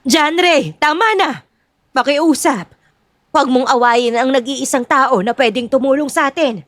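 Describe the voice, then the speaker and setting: A woman's voice: high-pitched, a little slow, dry recording, studio quality. A woman playing a devoted, distraught middle-aged mother, pleading and tearful, in an audio drama.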